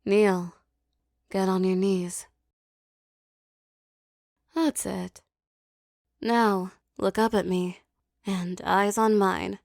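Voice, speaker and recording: A woman performing a scripted hypnosis roleplay, speaking softly and commandingly with a teasing edge. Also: mid-range voice, fairly even intonation, creaky, dry recording, clean studio sound. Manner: slow, soft, commanding and teasing.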